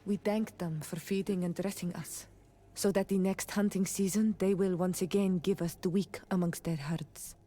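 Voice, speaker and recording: A woman's voice: fairly low pitch, fairly even intonation, normal speed, warm tone, soft background music, close to an everyday voice. A woman playing a reserved native islander loyal to tradition in a fantasy video game, speaking earnestly and formally. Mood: grave, reserved. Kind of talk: video game dialogue acting.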